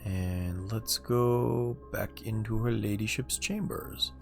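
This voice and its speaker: Low, gravelly-voiced man streaming a game. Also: steady tone, slow, soft background music. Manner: low-key, muttering to himself. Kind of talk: casual gameplay commentary.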